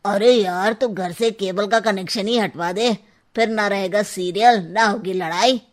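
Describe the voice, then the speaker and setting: A woman's voice: mid-range voice, a little slow, voice pushed down, close to an everyday voice. A woman doing a breezy young male friend. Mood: offhand. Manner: casual, offhand.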